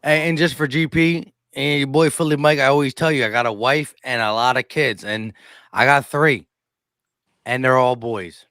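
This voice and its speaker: A man's voice: mid-range voice, fairly even intonation, moderate pace, a bit bright, clear, resonant tone, no room sound, clean studio sound. A man in his thirties chatting casually as a sports show host, emphatic and given to repeating himself. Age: 30s. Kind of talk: casual chat.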